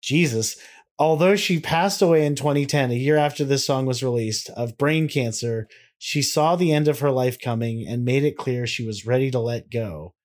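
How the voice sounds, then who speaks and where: Guy cohosting a podcast, rambles and swears a lot, slangy, chatting and reading stuff out, medium pitch. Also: moderate pace. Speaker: podcast co-host. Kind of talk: casual banter, reading song annotations aloud.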